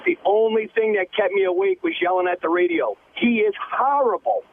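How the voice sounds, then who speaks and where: A man calling a radio show over a noisy, tinny phone line, complaining bluntly in a creaky voice. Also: high voice, fairly quick. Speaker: radio caller. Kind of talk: animated call-in opinion. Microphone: telephone.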